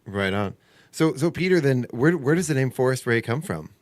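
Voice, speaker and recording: A man co-hosting a radio show, friendly and jokey, reacting quickly to his guest. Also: mid-range voice, lively intonation, dry recording. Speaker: radio co-host. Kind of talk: casual radio interview. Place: radio studio.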